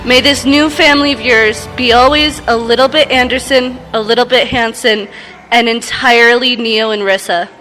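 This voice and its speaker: A woman giving a prepared, heartfelt wedding toast as a sister, in an earnest, measured way, at a reception. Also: fairly high pitch, fairly even intonation, bright, forceful tone, soft background music. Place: wedding reception, speaking to guests.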